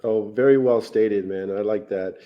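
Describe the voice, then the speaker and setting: A man's voice: fairly low pitch, moderate pace, dull audio. A man hosting an interview podcast in an enthusiastic, affirming, conversational way. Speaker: podcast host.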